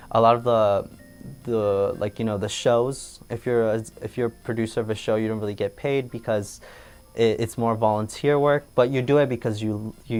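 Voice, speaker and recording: A young man talks casually in a slightly low voice with very little pitch movement. He rambles and circles back over phrases, with faint music behind him. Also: clear, resonant tone. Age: young adult. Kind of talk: casual interview answer.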